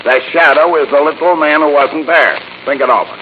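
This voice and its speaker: Actor as blunt police commissioner, crackly old radio. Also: male voice, fairly high pitch, fairly quick, natural voice. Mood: exasperated. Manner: blunt, sardonic, insistent. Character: gruff, stubborn, sarcastic male police commissioner. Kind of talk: 1940s radio crime drama acting. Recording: muffled, noisy 1940s radio recording.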